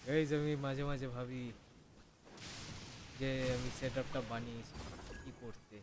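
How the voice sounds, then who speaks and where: A man's voice: mid-range voice, steady tone, rapid-fire. A man who is a native Bengali speaker, giving playful, chatty, casual commentary as a gaming streamer. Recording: faint background noise.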